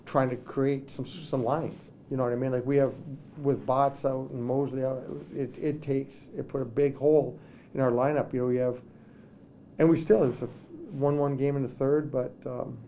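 Middle-aged guy coaching hockey, postgame presser, mellow kinda dark voice, keeps restarting, faint hum behind. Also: medium pitch, fairly even intonation. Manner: halting, many restarts and "you know". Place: press room with sponsor backdrop. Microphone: table microphones in front.

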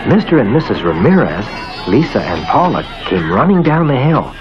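Animated male storyteller over loud music, lo-fi. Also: mid-range voice, moderate pace. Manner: expressive storytelling, acts out the characters' quotes. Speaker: narrator. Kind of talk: scripted children's story narration. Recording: dull lo-fi old cartoon soundtrack, loud music.

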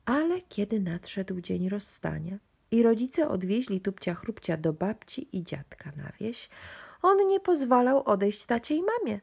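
Husky, animated woman storyteller. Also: mid-range voice, a little slow. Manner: expressive, addressed to young children. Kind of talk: expressive bedtime storybook narration.

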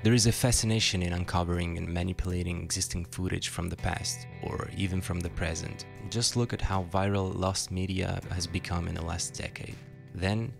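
A man delivers scripted essay narration in a very low, creaky voice. His manner is dry, with wry asides, and music plays clearly behind him.

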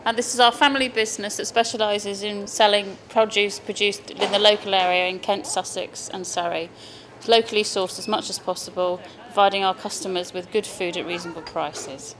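A middle-aged woman who co-owns a farm shop, speaking in a brisk, matter-of-fact way in a promotional interview, recorded indoors in the shop. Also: medium pitch, steady tone, a bit bright.

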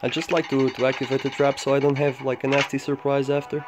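A man giving low-key, casual gameplay commentary, thinking aloud in short fragments as he guides viewers. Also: completely flat delivery, fast, loud music behind the voice. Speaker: gamer making a walkthrough guide. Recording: clearly noisy home recording with clicks.

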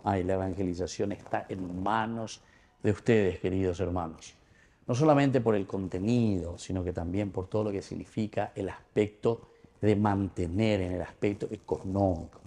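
A man's voice: low-pitched, steady tone, moderate pace, dark tone. An older man with a Paraguayan accent, a priest speaking emphatically and devotionally at Mass. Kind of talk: gospel reading and homily at Mass. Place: indoor chapel. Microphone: small mic clipped to vestment.

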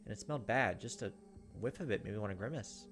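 A man, perhaps in his thirties, reading visual novel narration aloud and commenting, with dramatic, halting delivery. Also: lowish voice. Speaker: gaming video host. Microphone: desk microphone close up. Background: game music.

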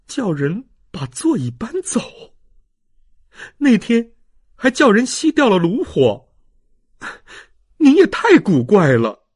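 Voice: medium pitch, huge pitch swings, slow, long pauses, husky, no room sound, clean studio sound, voice pushed up, full character voice. A narrator voicing an indignant, insistent young woman in an audiobook, full of exclaimed questions. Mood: exasperated. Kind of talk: audiobook character dialogue.

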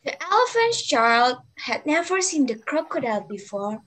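A woman's voice: sits high, very animated, moderate pace, fairly bright tone, low-quality recording. A young woman narrating a scripted children's story as a storyteller over a video call. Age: young adult. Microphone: video call microphone.

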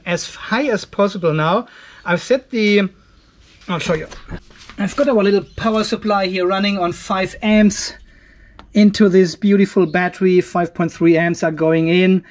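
A man in his fifties giving a casual hands-on tech demonstration, conversational and thinking aloud as he works. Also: high-pitched. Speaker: host of an off-grid solar video channel. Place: indoor garage workshop.

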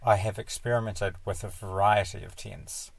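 A man narrating a sailing vlog in a calm, matter-of-fact voice-over, explaining a build step by step. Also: on the low side, normal speed, creaky, slightly rough, no echo. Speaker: sailing vlogger.

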